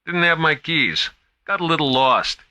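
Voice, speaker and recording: A man's voice: a little high, expressive, unhurried, sharp tone, voice pushed up, full character voice. A man voicing a nervous, lost young male guard, talking in a strained, raised voice. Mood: anxious.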